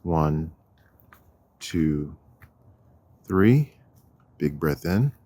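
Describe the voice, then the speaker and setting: Deep husky guy guiding a walking meditation, really slow, lots of pauses. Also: expressive, mellow, no room sound. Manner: deliberate, measured, with long pauses. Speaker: meditation guide, counselor. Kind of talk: guided walking meditation narration. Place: outdoors on a walk.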